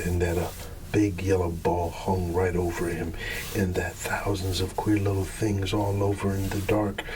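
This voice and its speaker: A man reading a bedtime story in ASMR style, hushed and drawn out, sometimes dropping into a whisper. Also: low voice. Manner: hushed, unhurried, drawn-out words with playful asides. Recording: steady background ambience noise. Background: ambient background sound.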